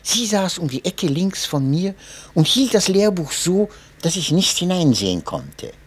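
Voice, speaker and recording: Husky male memoir narrator. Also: sits high, sing-song, a little slow. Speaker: audiobook narrator.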